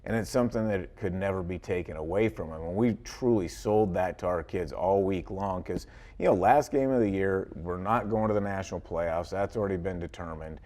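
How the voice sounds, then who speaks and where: A man's voice: low voice, brisk, darkish tone. A man with an American accent, a football coach talking conversationally in a sports interview, with folksy wording and repeated phrases.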